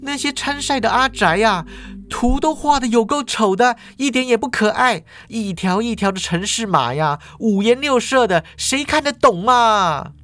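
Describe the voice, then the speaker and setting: A man voicing a bubbly, whiny young student who complains in a shrill, drawn-out way. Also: lively intonation, bright, forceful tone, full character voice.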